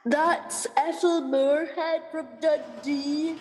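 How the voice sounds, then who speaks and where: A woman as a slow, high-pitched comic prison guard. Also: a little mellow, soft background music. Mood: weary, upset. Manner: colloquial, blunt, pleading. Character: coaxing, exasperated comic prison guard, working-class. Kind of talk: comic radio play acting. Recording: very reverberant, hall-like sound.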